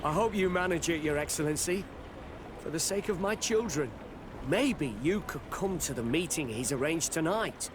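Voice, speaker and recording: A man's voice: on the high side, expressive, normal speed, noisy background, close to an everyday voice. An actor playing a nervous, frightened male informant who stammers and pleads, in a video game. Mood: worried.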